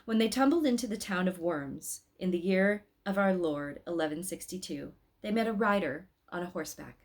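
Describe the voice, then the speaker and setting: Expressive woman reading a story aloud. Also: lowish voice, moderate pace.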